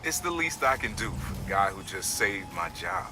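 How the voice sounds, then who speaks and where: An actor playing a grateful middle-aged male subway worker in a video game, plain-spoken and gruff. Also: low-pitched, sing-song, moderate pace, background noise. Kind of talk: video game cutscene acting.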